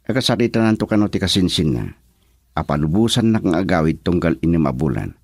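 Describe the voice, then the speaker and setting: An actor playing a reluctant, brooding young husband in an Ilocano radio drama, with a Filipino accent. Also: male voice, low voice, expressive, fast talker, creaky, a little mellow, no room sound, studio quality, full character voice. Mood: defensive.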